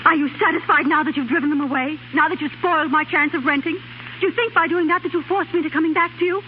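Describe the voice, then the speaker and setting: A woman's voice: high-pitched, fairly even intonation, fast, slight background noise, close to an everyday voice. A woman acting as a proud, exasperated young wife in a 1930s radio drama, clipped and insistent, on a tinny old recording. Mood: defiant.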